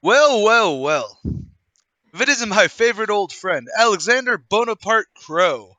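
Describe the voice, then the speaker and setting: A man's voice: high voice, very animated, unhurried, sharp tone, no room sound, heavily acted. A man playing a chummy, probing old acquaintance in a radio play table read, familiar and insinuating. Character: smooth, chummy male old acquaintance, probing and falsely friendly.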